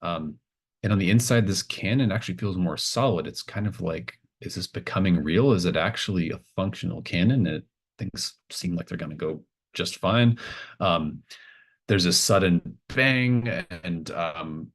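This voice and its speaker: Very deep-voiced man running a tabletop game. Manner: halting, casual phrasing as he improvises scenes. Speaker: game master. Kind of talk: improvised narration of a tabletop horror game.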